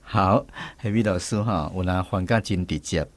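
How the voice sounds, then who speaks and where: A man's voice: deep voice, moderate pace, no room sound. A man with a Taiwanese accent hosting a language lesson segment on the radio. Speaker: radio show host.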